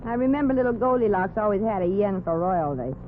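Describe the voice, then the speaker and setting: A woman's voice: medium pitch, lively intonation, fast, a little hiss or hum, natural voice. An actress playing a streetwise, wisecracking young showgirl in a 1940s radio comedy. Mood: quick-witted. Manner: brassy, wisecracking. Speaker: lead character in a radio comedy. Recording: old radio broadcast, lo-fi.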